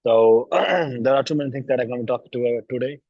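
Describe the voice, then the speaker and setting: A young man from Nepal gives a recorded class presentation with a sore throat, talking in a halting way with many restarts and throat clearing. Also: medium pitch, no echo, lo-fi sound. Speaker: university student presenting.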